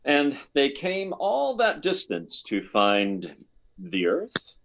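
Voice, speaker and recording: An actor playing a hesitant, probing army psychiatrist in an old-time radio science fiction drama, stammering and deliberate. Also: male voice, medium pitch, wildly swooping pitch, fairly bright tone, highs cut off, slight background noise, exaggerated voice. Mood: skeptical, probing.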